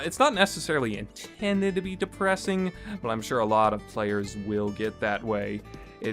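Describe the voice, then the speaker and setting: A man hosts a relaxed review podcast in a mid-range voice. He rambles along with plenty of fillers. Faint guitar music plays quietly behind him. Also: mid-range voice. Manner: rambling, many "uh" and "you know" fillers. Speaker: podcast host reviewing games. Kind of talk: casual spoken review.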